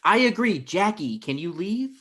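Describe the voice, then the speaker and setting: A man's voice: high voice, big pitch swings, moderate pace, a bit bright. A young man acting an anxious, indignant, goofy boyfriend in a naturalistic script read over a video call. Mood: anxious, pleading. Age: young adult. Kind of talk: acted pilot table read, naturalistic. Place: small room at home, video call.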